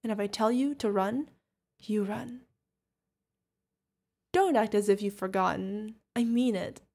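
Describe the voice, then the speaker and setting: A woman's voice: medium pitch, a little slow, mellow. A woman playing a protective older witch mentor, fond, teasing and stern, in an ASMR fantasy roleplay. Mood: stern, earnest. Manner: affectionate teasing, mock-exasperated. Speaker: voice actor.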